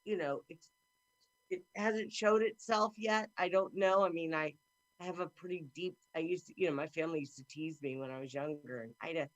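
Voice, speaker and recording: A middle-aged woman hosting a talk show over a video call, with a deep, carrying voice, effusive and chatty. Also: a little fast, dry recording, rough recording. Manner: effusive and warm, talks a lot. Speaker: host. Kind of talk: casual chat. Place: indoor room at home.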